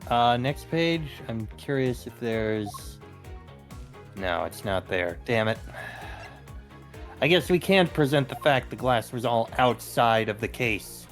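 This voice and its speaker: A man's voice: medium pitch, animated, slow. A man talking casually as a co-player on a group game stream. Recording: faint music behind the voice.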